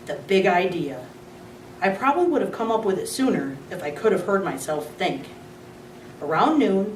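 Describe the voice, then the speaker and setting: A woman reading a children's chapter book aloud in an expressive storytelling manner. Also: mid-range voice. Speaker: reader. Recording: roomy, distant, faint hum.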